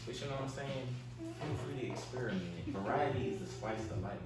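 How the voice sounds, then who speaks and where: A man's voice: mid-range voice, expressive, a little fast, recorded from a distance. A man of twenty-one in a casual group discussion, speaking candidly with plenty of slang. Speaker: student panelist. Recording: echoey room from afar, music behind.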